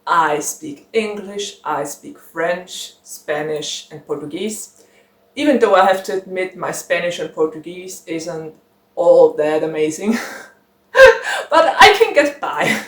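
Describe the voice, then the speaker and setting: Slow, chatty young woman. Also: on the low side, expressive. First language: German. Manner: chatty, giggly, rambling with many fillers. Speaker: book channel host. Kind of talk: casual chat to camera. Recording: roomy echo.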